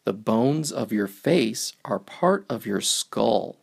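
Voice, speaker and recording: A man reads a children's picture book aloud in a very creaky, gravelly voice. His pitch swings in a lively way as he moves through the simple lines, and he takes his time over each phrase. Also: takes it slowly.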